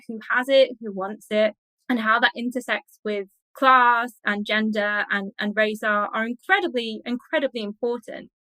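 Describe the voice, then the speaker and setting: A woman's voice: fairly high pitch, bright, forceful tone, dry recording, low-quality recording. A woman speaking as an expert interview guest, explaining in a conversational, scholarly and enthusiastic way.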